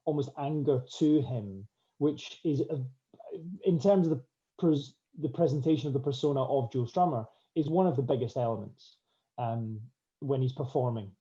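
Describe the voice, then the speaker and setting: Very dark-voiced man in dull, lo-fi audio. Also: medium pitch, normal speed. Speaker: actor. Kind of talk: thoughtful Q&A answer.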